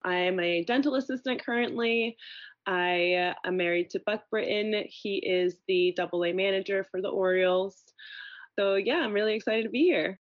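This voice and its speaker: A woman's voice: medium pitch, moderate pace, dry recording. Chatty woman guest, dry dull sound. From Venezuela. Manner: chatty, lots of "like" and "you know". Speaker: podcast guest. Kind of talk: casual conversation.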